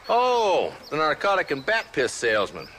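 Casting: gruff, weary old frontier marshal, American Western accent, forceful and sardonic, some background noise. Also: male voice, fairly high pitch, wildly swooping pitch, normal speed, heavily acted. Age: older. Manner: sardonic, blunt, folksy. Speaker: town marshal. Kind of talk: video game western acting.

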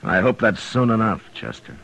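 An actor playing a stern, world-weary frontier marshal with a gruff, authoritative manner in a 1950s radio western. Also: male voice, fairly low pitch, big pitch swings, normal speed, poor audio. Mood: concerned. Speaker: lead actor and narrator. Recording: 1950s radio broadcast with music.

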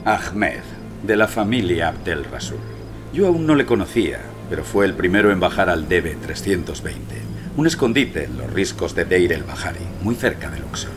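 An actor playing an eloquent, romantic archaeologist who narrates his own story in a poetic, measured way. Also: sits low, sing-song, music in the background. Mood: recounting. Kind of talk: dramatic first-person scripted narration.

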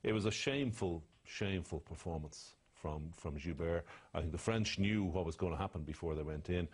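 Male pundit, very low and husky, blunt and indignant. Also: moderate pace. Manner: blunt, indignant, drops quiet and low when condemning. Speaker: rugby analyst. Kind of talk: studio match analysis.

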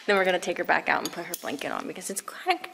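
A woman's voice: lowish voice, very animated, rapid-fire, gravelly, noisy background. Chatty young woman filming at the barn. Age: young adult. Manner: bubbly, chatty, laughs often. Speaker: vlogger. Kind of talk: casual vlog chat. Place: horse barn and indoor arena, evening. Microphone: handheld action camera.

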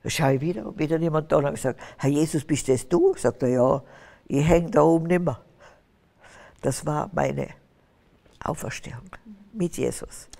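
Fervent older woman, gravelly. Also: sits low, moderate pace, long pauses, mellow. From Austria. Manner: fervent, preaching, rising to emphatic bursts. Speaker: guest. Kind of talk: testimony interview on stage. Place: stage with curtains, large hall. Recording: reverberant hall sound.

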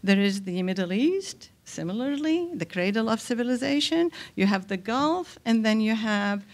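An older woman from Lebanon speaks as a panelist at a podium microphone, lecturing in a deliberate, emphatic way with pauses mid-thought. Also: a little high, expressive. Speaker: panelist, scholar and activist. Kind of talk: public lecture at a symposium. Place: indoor hall, speaking from a podium. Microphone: microphone on a stand.

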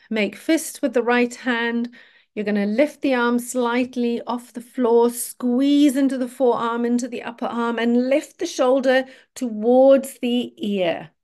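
Female meditation guide, slightly high voice, unhurried delivery, clear step-by-step gentle instruction. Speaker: yoga nidra teacher.

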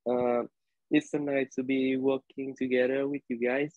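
A man's voice: medium pitch, little pitch movement, moderate pace, dry recording. A young man from Vietnam with a Vietnamese accent, telling a class story over a video call, hesitant with many fillers. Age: young adult. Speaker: college student. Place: living room indoors. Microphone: laptop webcam on video call. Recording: dry, tinny video-call audio.

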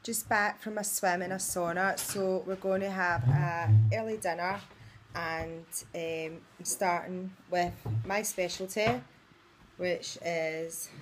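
A woman's voice: on the low side, slight background noise, low-quality recording. A woman, chatting casually into a phone held at arm's length for a holiday vlog, rambling with lots of fillers. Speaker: vlogger.

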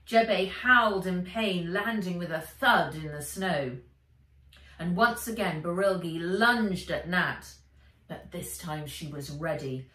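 A woman's voice: on the low side, recorded from a distance. Echoey woman reading a children's adventure aloud. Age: middle-aged. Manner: expressive, animated, emphatic storytelling. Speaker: author reading her own book aloud. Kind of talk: dramatic audiobook-style narration for children. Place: indoors, bright room with armchair. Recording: roomy, echoey, away from mic.

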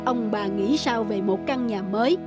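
A man's voice: sits high, moderate pace, over loud music, lightly acted. Man playing a solemn, kindly magical wanderer. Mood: solemn, benevolent. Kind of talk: cartoon fairy tale acting.

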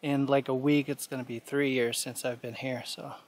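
A man's voice: medium pitch, little pitch movement, moderate pace, heavy background noise. A man talking to the camera while he climbs a steep mountain trail outdoors, cheerful and chatty with lots of fillers. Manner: cheerful, chatty, many fillers like "you know" and "uh". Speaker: hiking vlogger. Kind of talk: casual talk to camera while hiking.

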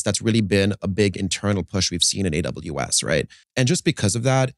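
A man's voice: on the low side, no echo, clean studio sound. A man in his thirties, a startup co-founder, explaining his business fluently in an interview, often adding "right?", his voice slightly worn from a busy conference. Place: conference podcast studio set, indoors. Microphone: studio mic on boom arm, wearing headphones.

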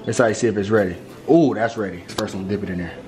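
A man's voice: fairly low pitch, fast talker. Young man, playful hype cooking vlog voice, roomy kitchen echo, noise behind. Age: young adult. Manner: hyped, joking, talks to viewers with sound effects. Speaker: cooking partner. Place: home kitchen, indoors. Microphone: camera mic across the kitchen. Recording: echoey kitchen with background noise.

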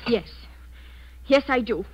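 On a dull old radio recording with faint noise, a frightened young captive. Her voice is high, earnest and insistent, anxious yet defiant in 1940s radio style. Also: lively intonation, slow pace. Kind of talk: 1940s radio drama acting. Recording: band-limited noisy 1940s radio recording.

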